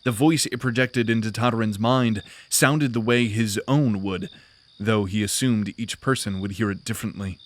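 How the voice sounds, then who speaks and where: A man narrating a fantasy audio drama in a measured, descriptive storytelling manner. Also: lowish voice.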